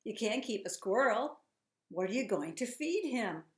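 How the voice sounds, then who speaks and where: A woman's voice: a little high, expressive, normal speed, echoey room, lightly acted. A woman voicing a worried, exasperated old grandad in a storybook, pleading emphatically.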